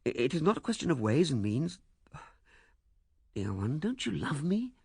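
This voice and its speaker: A man's voice: on the high side, very animated, normal speed, gravelly, a little mellow, breathy edge, no echo, studio quality, pitched above the performer's own voice, exaggerated voice. A man voicing an ardent, determined young suitor in an audiobook, speaking with urgent, insistent emphasis. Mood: insistent, pleading. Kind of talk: audiobook character dialogue.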